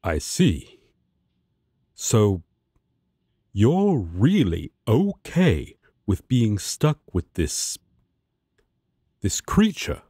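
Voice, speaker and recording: A man's voice: lowish voice, wildly swooping pitch, drawn-out words, long pauses, dark tone, breathy edge, no echo, studio quality, full character voice. A man playing an earnest, righteous, devoted guardian angel in an audio roleplay, speaking formally and solemnly. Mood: solemn, probing.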